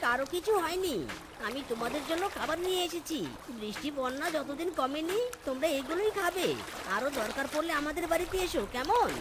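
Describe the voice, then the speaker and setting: A woman's voice: high voice, animated, a little fast, background noise. A woman playing a good-hearted, earnest father fox in a children's cartoon. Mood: kindly. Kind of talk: dubbed children's cartoon dialogue. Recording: music behind the voice. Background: music.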